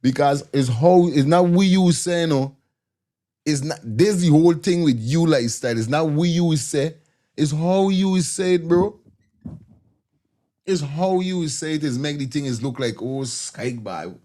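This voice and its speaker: A man's voice: fairly high pitch, a little slow, dark tone. A man with a Guyanese Creole accent, co-hosting a casual chat, talking loosely with stammering restarts. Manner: loose, rambling, stammering restarts, laughs often. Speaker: co-host.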